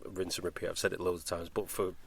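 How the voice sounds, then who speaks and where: A man's voice: sits low, little pitch movement, fast, gravelly, fairly bright tone, a little hiss or hum. A man hosting a casual podcast, talking in a discursive way and often interrupting himself. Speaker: podcast host, voice actor.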